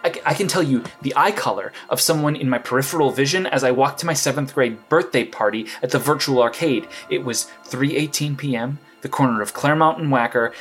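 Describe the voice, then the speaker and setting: A rattled young male hero, played by a man, talks to himself in an audio drama case log. He stammers and repeats himself anxiously at a middling pitch. Faint music sits beneath. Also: lightly acted. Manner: stammering, anxious self-talk. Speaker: superhero keeping a case log.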